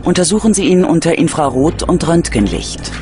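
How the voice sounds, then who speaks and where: A man's voice: on the high side, lively intonation, moderate pace, dark tone. A man reading scripted true-crime documentary narration as the narrator. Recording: music behind the voice. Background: background music.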